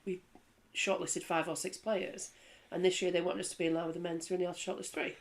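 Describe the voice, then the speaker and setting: A lower-voiced woman chats on a rugby league panel show. She talks in a loose, lively way and laughs easily, and her sentences tumble and restart as she goes. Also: fast, crowd noise. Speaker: rugby league pundit and former player. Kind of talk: casual panel chat.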